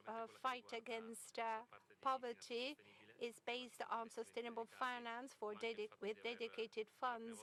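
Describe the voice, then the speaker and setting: A woman interpreting live at a press conference, speaking haltingly phrase by phrase. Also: a little high, a bit bright, rough recording. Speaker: simultaneous interpreter.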